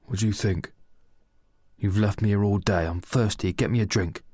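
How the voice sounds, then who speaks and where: A man's voice: sits low, expressive, normal speed, darkish tone, husky, no echo, clean studio sound, heavily acted. A man voicing a bitter, demanding bedridden mother who complains and gives orders.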